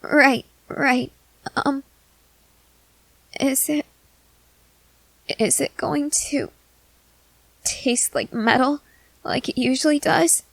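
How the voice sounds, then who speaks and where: High, slow-spoken timid vampire girl, acted by a woman. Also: steady tone, creaky, no echo, heavily acted, rough recording. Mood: uneasy, squeamish. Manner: hesitant, halting, soft-hearted. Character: timid, gentle newly turned young female vampire. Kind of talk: ASMR roleplay acting.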